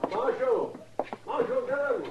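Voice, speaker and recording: An actor playing an agitated small-town banker in an old western radio drama, excitable and flustered. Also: male voice, extremely high pitch, slow pace, a little hiss or hum. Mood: alarmed, urgent. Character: agitated, anxious small-town bank owner. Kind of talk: 1950s radio drama acting. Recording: muffled vintage radio recording.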